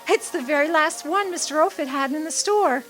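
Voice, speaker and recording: Woman acting high-voiced adoring young sweetheart. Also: brisk, a bit bright. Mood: fond, excited. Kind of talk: radio drama acting.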